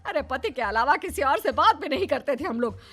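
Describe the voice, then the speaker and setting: A woman voicing a nagging, old-fashioned middle-aged mother-in-law in an animated moral story, dramatic and indignant. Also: high voice, brisk, soft background music.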